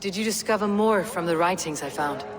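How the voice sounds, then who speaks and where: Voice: mid-range voice, brisk, in a large hall, lightly acted. An actor voicing a terse, defiant Norse warrior.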